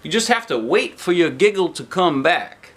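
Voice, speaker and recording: Man playing a matter-of-fact storybook doctor, pitched up. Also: sing-song, moderate pace, a bit bright. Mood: apologetic. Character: matter-of-fact male doctor, gently apologetic.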